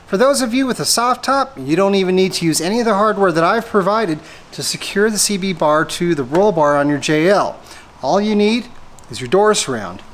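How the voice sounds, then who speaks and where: A man's voice: high-pitched, lively intonation, fairly quick, fairly bright tone, slight background noise. A man presenting a methodical, step-by-step hands-on installation tutorial for a product he made. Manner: methodical, explanatory. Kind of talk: step-by-step hands-on how-to demonstration.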